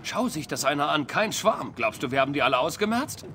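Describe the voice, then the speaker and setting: Urgent young soldier, lively pitch. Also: male voice, brisk, slight background noise. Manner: urgent, commanding. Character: young male soldier squad leader, urgent and determined. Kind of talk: video game voice acting, German dub. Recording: loud game music behind.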